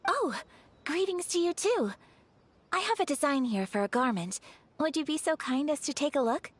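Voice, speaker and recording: A woman playing a polite, earnest young noblewoman in a video game, speaking in a formal, gentle and courteous manner. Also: high voice, expressive, moderate pace, slight background noise. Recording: game music behind the voice.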